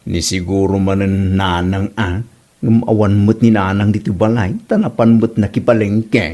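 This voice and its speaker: A man's voice: fairly low pitch, steady tone, moderate pace, mellow, close to an everyday voice. A man playing a villager passing on neighborhood news in a comedy drama, with an Ilocano Filipino accent. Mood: chatty, complaining. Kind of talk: amateur comedy radio-style drama.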